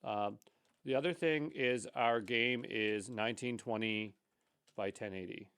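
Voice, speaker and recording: Man thinking aloud, clear dry voice. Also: lowish voice, measured pace, a bit bright, dry recording. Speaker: tutorial instructor. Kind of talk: unscripted screencast coding tutorial, thinking aloud.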